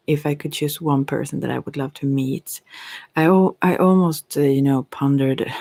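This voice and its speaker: A middle-aged woman with a Swedish accent, speaking softly and intimately in an unscripted, reflective ASMR monologue that rambles and trails off. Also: extremely low pitch, no room sound. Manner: rambling, trailing off mid-thought. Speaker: ASMR creator and tarot reader.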